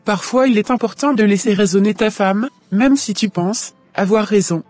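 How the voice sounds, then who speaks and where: A woman playing a wise, conciliatory mother-in-law in a scripted cartoon drama, giving advice in a measured way. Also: mid-range voice, darkish tone, soft background music, close to an everyday voice. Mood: calm, advising. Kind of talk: scripted animated drama dialogue.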